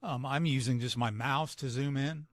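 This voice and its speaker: A man giving a casual live software tutorial, thinking aloud with fillers, his voice starting to go. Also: medium pitch, moderate pace, no echo, clean studio sound. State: losing his voice. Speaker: photographer demonstrating editing software.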